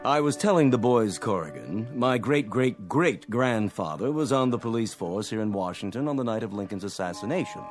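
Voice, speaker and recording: An actor in a radio play voicing a smug, wealthy gentleman with a self-satisfied, leisurely manner. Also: fairly low pitch, lively intonation, normal speed, few pauses, soft background music, natural voice. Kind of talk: dramatic radio play acting.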